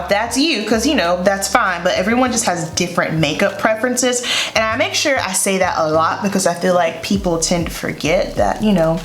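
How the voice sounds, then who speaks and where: Chatty congested woman in an echoey room. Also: on the low side. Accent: Southern US. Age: 30s. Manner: chatty, upbeat, repeats words for emphasis. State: congested from a head cold. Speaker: beauty vlogger. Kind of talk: casual makeup tutorial chat. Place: bedroom vanity room, indoors. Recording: echoey room, faint music.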